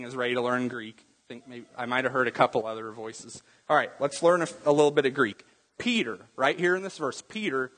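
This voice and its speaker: A man's voice: mid-range voice, a bit bright, in a large hall, poor audio. A man preaching a sermon in a church in a conversational teaching manner, quizzing the congregation with questions. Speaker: pastor.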